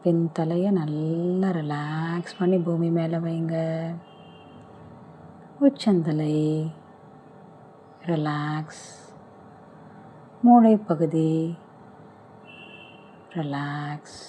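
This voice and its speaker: A woman speaks in a low, deeply mellow voice as she guides a relaxation meditation. She moves slowly through gentle, soothing instructions, leaving pauses between them. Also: slight background noise.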